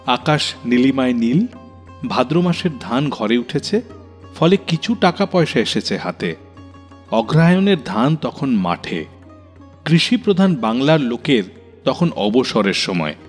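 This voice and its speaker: A male radio host narrates from a script with lively, wide-moving intonation. His measured, expressive reading rings in a long reverb, with faint music underneath. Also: medium pitch. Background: soft music.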